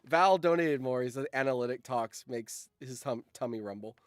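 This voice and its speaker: A man's voice: mid-range voice, moderate pace, dry recording. Young man chatting casually, dry close sound. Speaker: streamer and host. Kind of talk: casual livestream chat.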